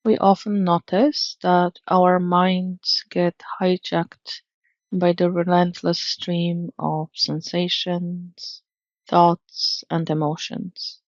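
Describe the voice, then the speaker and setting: A woman leads a guided meditation at a very unhurried pace. Her voice has a noticeable creak and a gentle, soothing delivery. The recording is dry and close, with a slightly muffled tone. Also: on the low side, little pitch movement, no room sound. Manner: soothing, gentle, measured instruction.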